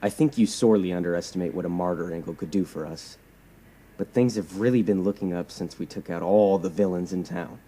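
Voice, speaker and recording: An actor playing a persuasive, self-righteous young superhero leader in an audio drama, speaking earnestly and insistently and repeating words for emphasis. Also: male voice, low-pitched, brisk, warm tone, breathy edge. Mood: earnest, cajoling.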